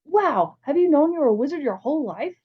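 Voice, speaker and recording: A woman voicing a chatty, excitable young American boy in a storybook, with a breezy, rambling manner. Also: high-pitched, a little fast, pitched above the performer's own voice. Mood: breezy, excited. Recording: slightly dull, lo-fi sound.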